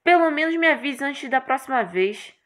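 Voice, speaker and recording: A woman's voice: high-pitched, expressive, normal speed, fairly bright tone, voice pushed up. Girl doing a cranky young hero guy from a game, sarcastic, always exasperated. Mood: incredulous. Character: brash, irritable young male hero stuck gardening.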